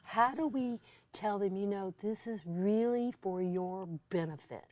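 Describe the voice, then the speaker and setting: A woman's voice: medium pitch, a little slow, a little mellow, no room sound. A woman hosting an interview, asking questions and affirming her guest in an engaged way.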